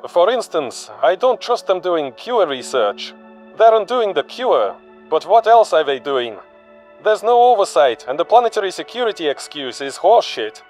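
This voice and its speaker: Man playing a high-pitched paranoid conspiracy theorist. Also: big pitch swings, normal speed, darkish tone, soft background music. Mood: defiant.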